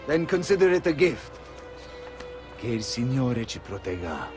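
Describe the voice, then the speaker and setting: An actor voicing a measured, dignified Italian nobleman in a video game, formal, with an Italian accent. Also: medium pitch, big pitch swings, music in the background, natural voice. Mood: grave, gracious. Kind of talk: video game cutscene acting.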